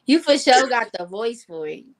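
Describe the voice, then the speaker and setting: A woman's voice: fairly high pitch, animated, moderate pace. A woman co-hosting a casual chat, playful and teasing, laughing often.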